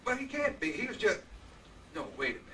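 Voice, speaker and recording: A man playing a fierce, suspicious old man with folksy, halting speech in a live old-time radio drama, picked up from a distance amid some noise. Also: high voice, very animated, bright, forceful tone, heavily acted. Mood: wary, stubborn. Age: older. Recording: noisy live stage, far from mic.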